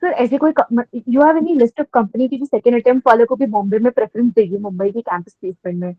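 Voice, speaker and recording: A woman's voice: fairly high pitch, brisk, no echo. Anxious young Indian woman on a muffled call. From Mumbai, India. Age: young adult. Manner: anxious, insistent, mixes Hindi and English. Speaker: webinar caller, accountancy candidate. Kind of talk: asking questions on a call. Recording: dull, slightly muffled online call.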